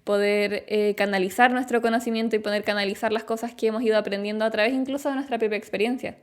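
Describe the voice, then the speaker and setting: A woman's voice: medium pitch, fairly even intonation, quick pace, clear, resonant tone. A woman in her late twenties with a Chilean accent, explaining things reflectively in a casual chat as a podcast guest. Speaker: podcast guest, clinical psychologist.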